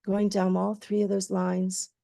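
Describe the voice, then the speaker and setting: Slow, soothing woman guiding a meditation. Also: mid-range voice, completely flat delivery, gravelly, no room sound, studio quality. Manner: soothing, gentle, lulling phrasing. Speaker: meditation guide. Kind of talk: guided meditation.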